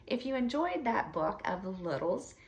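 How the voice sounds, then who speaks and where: A woman reading a children's story aloud expressively, with deliberate, dramatic emphasis for young listeners. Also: medium pitch, big pitch swings. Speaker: read-aloud storyteller for schoolchildren. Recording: echoey room, slightly distant mic.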